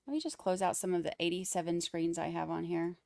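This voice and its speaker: A woman's voice: fairly low pitch, little pitch movement, fast talker, gravelly, dark tone, no room sound. A woman with a Boston accent hosting a live trial commentary stream, chatting wryly and reading out viewer comments. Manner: chatty, wry, rambling asides.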